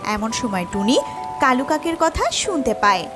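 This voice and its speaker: A woman's voice: sits high, big pitch swings, unhurried, over music. A woman narrating a scripted children's fairy tale as storyteller.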